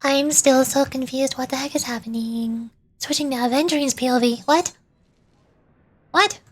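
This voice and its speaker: High-voiced woman streaming a game. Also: normal speed, slightly rough. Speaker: VTuber streamer. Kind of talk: casual game stream, reading story text aloud.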